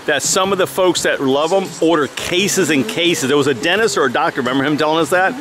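A man's voice: fairly high pitch, fast, crowd noise. A man chatting casually as a travel vlogger behind the camera, with a jokey, teasing manner. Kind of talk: casual vlog chat. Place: outdoors at a winery patio and park trail. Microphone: handheld camera mic.

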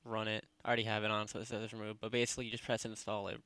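A man narrating a software tutorial in a casual, unscripted, rambling way, speaking informally with lots of filler phrases. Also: on the low side, completely flat delivery, racing, clean tone, dry recording. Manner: rambling, informal, repeats "basically" and "you know". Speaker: tutorial presenter. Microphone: close headset microphone.

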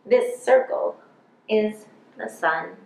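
A woman voicing eager, clever little mice from a children's storybook, with emphatic, drawn-out words. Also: mid-range voice, vocal fry, darkish tone. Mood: explaining. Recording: roomy indoor echo.

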